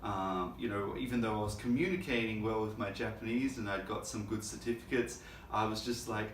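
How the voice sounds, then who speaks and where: A man's voice: fairly low pitch, a little fast, a little hiss or hum. Chatty man, distant in an echoey room. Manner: relaxed, chatty, frequent "like" and "you know". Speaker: host. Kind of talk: casual conversational talk.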